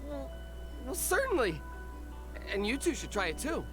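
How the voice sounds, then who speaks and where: Polite drink vendor; anxious to please, animated, over game music. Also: high voice, normal speed. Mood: eager. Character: eager, polite drink seller.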